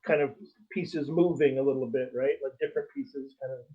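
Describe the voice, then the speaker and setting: A man's voice: medium pitch, fairly even intonation, fairly quick, husky. A man in casual conversation as a podcast co-host, recorded over a lo-fi connection.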